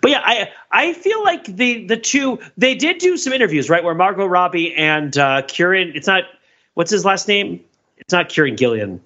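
A man with a fairly high, lively voice chats as a co-host. He offers opinions with amused qualifications, sounding bright through a slightly dull, lo-fi mic. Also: normal speed. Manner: hedging, amused. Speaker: podcast co-host. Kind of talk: casual opinionated chat.

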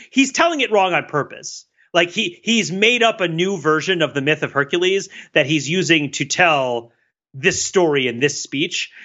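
A man co-hosting a podcast, thinking out loud in casual analytical talk, rambling with restarts and laughs. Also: sits high. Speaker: podcast co-host. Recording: dull lo-fi sound.

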